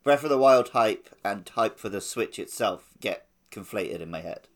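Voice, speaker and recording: Lower-voiced man in casual podcast chat. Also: expressive, normal speed, vocal fry. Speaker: podcast co-host.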